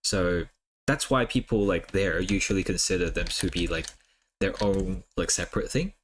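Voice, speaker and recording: Easygoing man, lowish voice. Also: normal speed, a little hiss or hum. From Hong Kong. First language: Cantonese. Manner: rambling, lots of "like" and "uh" fillers. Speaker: streamer. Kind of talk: casual chat while drawing on stream.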